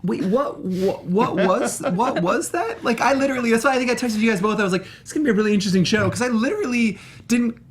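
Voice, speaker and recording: A man with an American accent co-hosting a casual panel, talking emphatically in halting, rephrased bursts. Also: extremely high pitch, slight background noise. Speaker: co-host of a movie review show.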